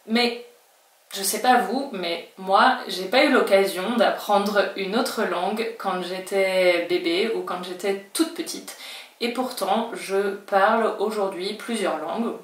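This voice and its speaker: A French-speaking woman explains to camera in a chatty, reassuring way, sometimes voicing her students' doubts. Her voice sits at a middle pitch. She sounds a little distant from the mic, in a room with a noticeable echo. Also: takes it slowly. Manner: chatty, reassuring, acts out learners' worries in quotes. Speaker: Spanish teacher. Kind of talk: talking-head language lesson.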